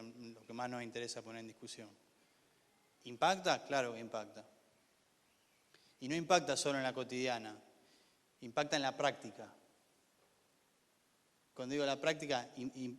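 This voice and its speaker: A man with a Buenos Aires accent gives an informal class lecture, haltingly, repeating words and posing rhetorical questions to his listeners. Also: medium pitch, a bit bright. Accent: Argentine, Buenos Aires area. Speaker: lecturer, university official teaching a class. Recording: echoey hall with long reverb.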